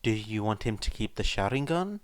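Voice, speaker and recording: A man's voice: lowish voice, big pitch swings, normal speed, no room sound, clean studio sound. A man voicing a stern, imperious old retired leader in a story, curt and commanding. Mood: firm.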